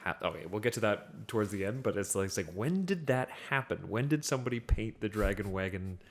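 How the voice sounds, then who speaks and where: A man co-hosting a casual movie podcast, joking and riffing in an animated way. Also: fairly low pitch, fairly quick. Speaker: podcast co-host.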